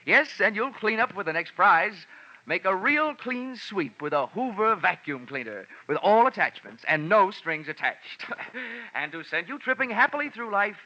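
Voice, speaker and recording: A slick, exuberant American disc jockey put on by a male actor pours out salesy prize-show patter. His voice rides high and gushing, on a dull vintage radio recording. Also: very animated, moderate pace, sharp tone, exaggerated voice.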